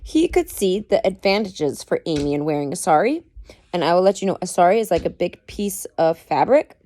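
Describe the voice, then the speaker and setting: A woman's voice: fairly low pitch, big pitch swings, fairly quick, warm tone. A woman reading a book aloud expressively, with dramatic emphasis and informal explanatory asides. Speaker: parent reading aloud to children.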